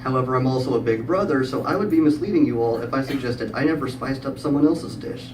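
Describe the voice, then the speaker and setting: A distant, echo-heavy recording with clear background noise carries a middle-aged man's voice at an average male pitch. He delivers a prepared comic story to a live room. His manner is wry and dry-witted, with pauses placed for the joke. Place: live event room with audience.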